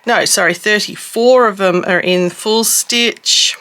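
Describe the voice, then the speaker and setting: A middle-aged woman hosting a casual craft unboxing, her voice rough and husky from a cough, chatty and self-deprecating. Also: mid-range voice, slow pace, sharp tone. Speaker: cross-stitch vlogger host.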